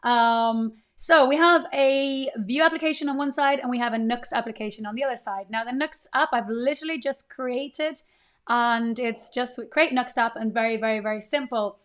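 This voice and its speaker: A woman in her thirties with a fairly high voice hosts a live coding stream from her desk. She talks in a chatty, upbeat way and greets her viewers often. Her voice is slightly strained while it recovers. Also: normal speed. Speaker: livestream coding host.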